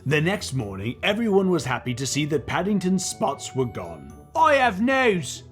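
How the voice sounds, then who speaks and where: A man reading a children's story aloud in an animated, expressive way, with playful banter as a co-host. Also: medium pitch, normal speed, faint music behind. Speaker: children's book reader and comedy co-host.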